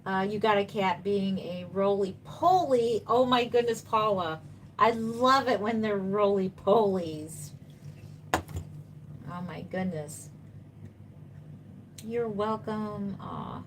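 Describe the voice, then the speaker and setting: A woman's voice: medium pitch, takes it slowly, long pauses, noisy background. A woman in her fifties, a doll artist chatting casually on a live stream, reading viewer comments and answering them reassuringly while she paints. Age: 50s.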